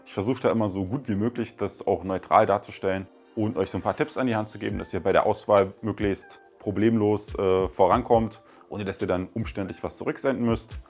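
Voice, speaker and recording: A man's voice: lowish voice, steady tone, a little fast, few pauses, darkish tone, soft background music. A man in his thirties giving a casual, chatty product review at home, explaining step by step with a few fillers. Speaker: tech reviewer. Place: living room indoors.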